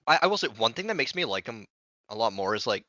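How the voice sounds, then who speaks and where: A man's voice: fairly low pitch, sing-song, fast, bright, forceful tone, no room sound, muffled recording. A man in a casual book club discussion, questioning and arguing his points as a panelist.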